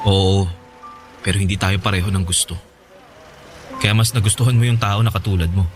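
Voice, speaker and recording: An actor playing a gentle, hesitant, heartbroken ex-boyfriend in a Filipino radio drama, with a Filipino accent. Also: male voice, low-pitched, steady tone, moderate pace, over music, natural voice. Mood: resigned, forgiving. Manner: hesitant, pleading, soft-hearted.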